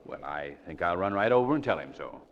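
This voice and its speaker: An actor playing a polite, urbane gentleman in 1940s radio drama, breezily courteous. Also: sits low, lively intonation, brisk, lo-fi sound. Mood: politely flustered. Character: polite, urbane gentleman feigning innocent surprise. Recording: reverberant old 1940s radio broadcast.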